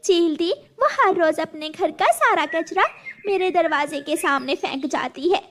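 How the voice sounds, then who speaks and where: A woman's voice: very high voice, animated, a little slow. A woman voicing an aggrieved mother sparrow in a children's cartoon, earnest, scolding and complaining. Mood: pleading complaint. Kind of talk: children's cartoon story dub.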